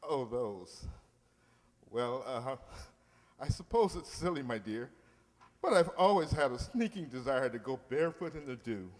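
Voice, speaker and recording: A man's voice: mid-range voice, animated, a little slow, close to an everyday voice. A man playing a pompous, sentimental middle-aged uncle in love, with a theatrical, hesitant, blustering delivery in old-time radio comedy style. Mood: sheepish, fond. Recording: echoey hall with long reverb.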